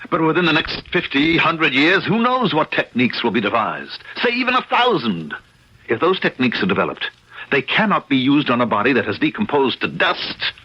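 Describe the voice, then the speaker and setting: An actor playing a determined, commanding wealthy husband in a 1970s radio drama, earnest, forceful and formal. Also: male voice, on the high side, big pitch swings, moderate pace, breathy edge. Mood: fervent. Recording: dull lo-fi old radio recording.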